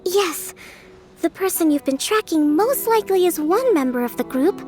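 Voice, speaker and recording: A young priestess strategist from a video game. The voice is very high, bookish and composed, laying out thoughts with quiet confidence over faint music. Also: female voice, expressive, moderate pace. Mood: analytical. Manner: articulate, reasoning step by step.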